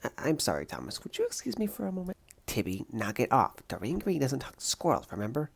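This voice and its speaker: Woman acting as young female superhero student, comic dub, animated intonation, perky, chatty, emphatic, upbeat. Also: a bass voice, quick pace, gravelly, breathy edge, exaggerated voice. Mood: flustered.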